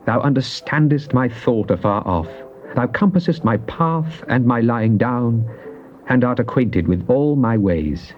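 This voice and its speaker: An actor playing a fervent psalmist, solemn and theatrical in a dramatized Bible reading. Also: male voice, mid-range voice. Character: fervent psalmist addressing God in prayer and lament. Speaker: scripture reader. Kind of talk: dramatized Bible reading, British stage delivery. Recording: muffled, noisy old cassette tape.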